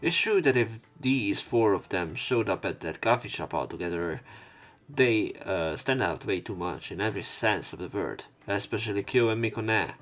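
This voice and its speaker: A man narrating a visual novel let's play, reading the text aloud in a measured way with some stumbles. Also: on the low side, lively intonation, a bit bright. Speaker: let's player.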